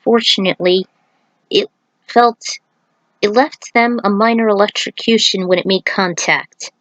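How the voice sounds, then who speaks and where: A woman's voice: medium pitch, fairly even intonation, measured pace, fairly bright tone, no echo, highs cut off. A woman reading an action fantasy story aloud as a narrator, in a dramatic scripted style.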